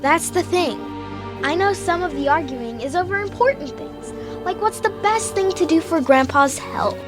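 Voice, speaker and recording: A woman's voice: very high voice, lively intonation, normal speed. A woman playing an earnest, curious, worried young schoolgirl in an audio drama, with music behind her. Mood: troubled, earnest.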